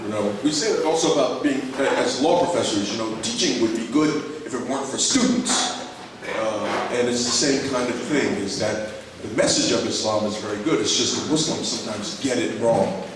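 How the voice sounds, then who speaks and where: A man speaking as a professor at a conference, reflective and personal, often pausing and restarting with "uh". His voice sits at a middle pitch and comes from far off, and a big echo and steady background noise fill the hall. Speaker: law professor giving a conference talk. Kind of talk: conference lecture.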